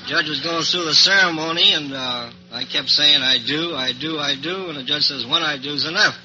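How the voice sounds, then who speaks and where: A man's voice: on the high side, moderate pace, bright, forceful tone. An American man giving plain-spoken, matter-of-fact answers as a contestant on a 1940s radio quiz. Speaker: war surplus store owner, quiz contestant. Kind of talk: unscripted radio interview answers. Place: live radio show. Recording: dull old 1940s radio recording.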